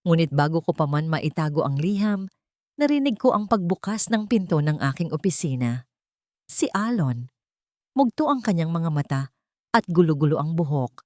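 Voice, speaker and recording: A woman's voice: low voice, sing-song, moderate pace, mellow, no room sound, clean studio sound. A woman reading scripted dramatic narration as a storyteller.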